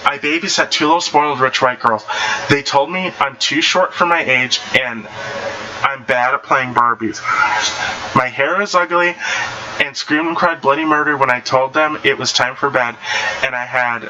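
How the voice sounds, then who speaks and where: A man casually reading anecdotes aloud in an animated way, stumbling and rereading phrases as he goes. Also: mid-range voice, normal speed, highs cut off, slight background noise. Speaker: online story reader.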